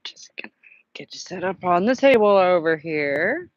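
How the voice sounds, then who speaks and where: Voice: medium pitch, animated, normal speed. A host running a casual live book-club chat, rambling and self-deprecating, nursing a sore throat. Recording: dull lo-fi sound with a fan humming.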